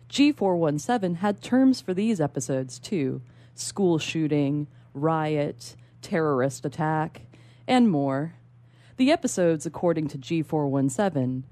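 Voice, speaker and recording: A woman reading a science fiction short story aloud, dramatic yet measured and precise. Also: low-pitched, dark tone. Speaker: guest story reader.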